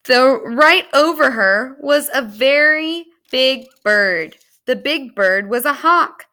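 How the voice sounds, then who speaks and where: Woman, children's story reader, high-pitched, very varied melody, animated read-aloud delivery. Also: slow pace. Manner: animated, explains words to young listeners.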